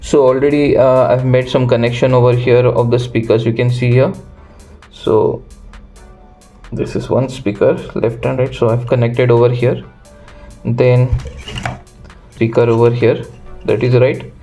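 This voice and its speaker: A man walks through a hands-on electronics build. His voice is mellow and mid-pitched. He speaks in a halting, unscripted way, pausing mid-phrase, with music playing behind him. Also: level tone. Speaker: electronics tutorial host. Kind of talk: unscripted step-by-step hands-on tutorial.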